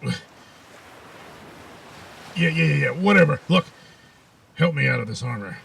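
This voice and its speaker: A man's voice: fairly high pitch, animated, measured pace, vocal fry, a bit bright, full character voice. A man playing a pompous, hapless armored superhero in a comic audio serial, boastful and melodramatic, easily exasperated, recorded distantly with noise behind him. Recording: distant, roomy sound with background noise.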